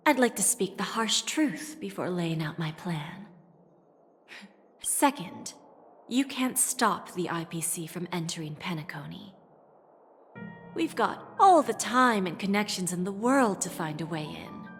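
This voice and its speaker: Game role: actress as shrewd female negotiator, composed, menacing under polish, medium register, clear background score. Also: mid-range voice, close to an everyday voice. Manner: composed, assured, deliberate phrasing. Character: cool, shrewd female corporate negotiator, calmly threatening. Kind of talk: dramatic video game voice acting.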